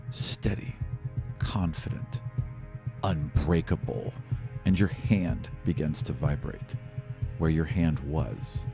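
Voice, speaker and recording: A man acting as game master, narrating a tabletop fantasy game with vivid, dramatic description and casual asides. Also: low voice.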